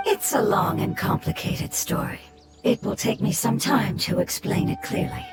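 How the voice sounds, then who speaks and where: A tiny, ancient creature of the game world breathes its words in an airy whisper. It talks with formal precision and a lively, wide-ranging melody. A loud game score plays behind it. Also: female voice, on the high side. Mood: solemn. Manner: precise, formal, measured explanations. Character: ancient, small, gentle creature speaking formally. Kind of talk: game cutscene voice acting. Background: game soundtrack music.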